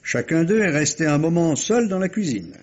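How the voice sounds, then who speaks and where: A man's voice: on the high side, very animated, normal speed, a little mellow. A man playing a patient, methodical police inspector in 1950s French radio mystery style, probing and sometimes hesitant. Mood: cautious, explanatory. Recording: muffled vintage radio drama recording.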